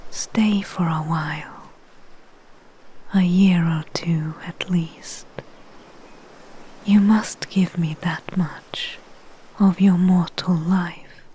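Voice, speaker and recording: Woman voicing mythic Greek enchantress, ASMR roleplay, extremely slow, mellow and low, calm, possessive, soothing whisper. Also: exaggerated voice, low-quality recording. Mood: seductive, inviting. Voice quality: breathy, whispery. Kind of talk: soft-spoken ASMR roleplay.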